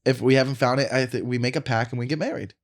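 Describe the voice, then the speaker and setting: A man's voice: medium pitch, fairly even intonation, fast. A man in his forties, a comedy podcast host, chatting casually and repeating words as he starts his sentences. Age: 40s. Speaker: stand-up comedian. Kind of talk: casual banter.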